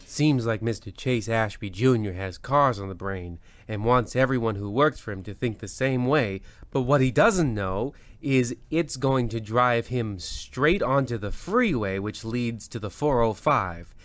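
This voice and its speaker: An actor playing a rambling, wry narrator of an old-time radio comedy who keeps getting sidetracked. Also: male voice, mid-range voice, lively intonation, unhurried, few pauses, fairly bright tone, no echo. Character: rambling, folksy male radio-play narrator.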